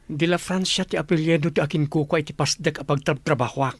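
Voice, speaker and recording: A man's voice: on the high side, fairly even intonation, fairly quick, breathy edge, no room sound. An actor playing a kind, attentive young working man in an Ilocano radio drama. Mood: thoughtful.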